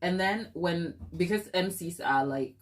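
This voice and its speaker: A Ghanaian woman hosts a live cake decorating stream in a relaxed, chatty way. She talks unhurriedly in a fairly low voice and speaks to her viewers like old friends. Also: measured pace. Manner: chatty, addresses viewers as "my people", mixes in Twi. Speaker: cake decorating livestream host. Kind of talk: casual livestream chat with viewers.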